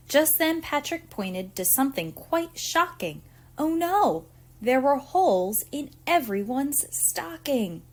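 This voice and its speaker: Animated woman reading a children's story. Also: a little high. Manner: deliberate, theatrical storytelling with acted emphasis. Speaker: preschool teacher reading aloud. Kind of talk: expressive children's picture book read-aloud.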